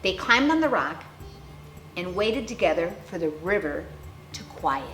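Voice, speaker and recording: A woman storyteller reading a picture book aloud to young children with theatrical, deliberate phrasing and dramatic emphasis. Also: fairly low pitch, big pitch swings, fairly bright tone. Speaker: children's storyteller, clown character host. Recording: roomy echo, faint music behind.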